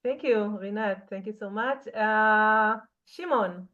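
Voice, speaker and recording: A woman's voice: medium pitch, takes it slowly, narrow, tinny audio. A woman moderating an online class who thanks each speaker briefly and calls on the next. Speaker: course moderator. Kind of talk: calling on participants in an online class.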